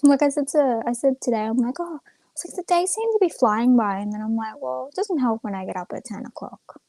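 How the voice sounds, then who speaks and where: A young woman with a somewhat high voice talks informally to the people watching her livestream. She rambles from thought to thought in a self-effacing, everyday way. The sound is dry, with very little room in it. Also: lively intonation, brisk, dark tone, dry recording. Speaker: live streamer. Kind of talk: casual chat to viewers on a livestream.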